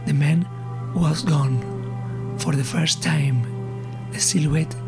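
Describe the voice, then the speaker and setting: A man narrating a horror story in short, ominous, halting phrases that often drop to a breathy hush. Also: on the high side, steady tone. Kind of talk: slow dramatic scripted horror narration. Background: eerie suspense music.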